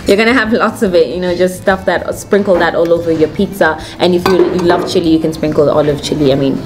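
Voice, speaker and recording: A woman's voice: on the low side, steady tone, fast, background noise. A young adult woman with a Kenyan accent, casually chatting as a food vlog host in an informal way. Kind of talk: casual restaurant review chat to camera. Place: indoors at a restaurant table. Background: music.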